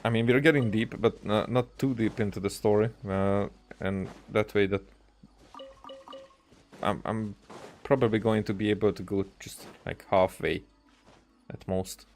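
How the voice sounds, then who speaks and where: A man's voice: lowish voice, steady tone, a little slow, a little mellow, a little hiss or hum. A man giving casual commentary as he plays a video game, rambling and often searching for his words. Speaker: streamer playing a video game.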